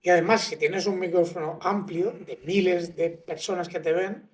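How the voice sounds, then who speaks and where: Frank low-voiced woman podcast host. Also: fairly even intonation, moderate pace, a little mellow. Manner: frank, informal, says tío. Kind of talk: casual interview chat.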